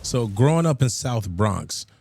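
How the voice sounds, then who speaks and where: A man's voice: mid-range voice, big pitch swings, measured pace, heavy background noise. A man hosting a casual radio interview, speaking in slang with encouraging interjections. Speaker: radio session host interviewing.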